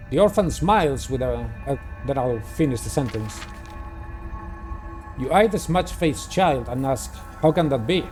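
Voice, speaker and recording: Male game-playthrough host, slightly high pitch, narrates on-screen story text with casual asides, soft music underneath. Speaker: video game player and host. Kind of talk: reading a visual novel aloud with casual commentary. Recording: music playing behind the voice. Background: background music.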